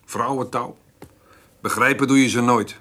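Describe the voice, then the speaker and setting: A middle-aged farmer in a radio drama, brooding and plainspoken. His voice is medium-pitched and rough with huskiness, and he stumbles into his sentences with hesitant restarts. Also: steady tone, unhurried, a bit bright. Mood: weary, rueful. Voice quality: husky. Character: troubled farmer, rough-spoken, brooding over his mother. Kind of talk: radio play acting.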